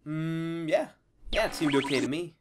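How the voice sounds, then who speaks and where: A man's voice: a little high, normal speed, slight background noise, natural voice. A man acting as a modest, unassuming spaceship crewman in an audio drama. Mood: unsure, casual.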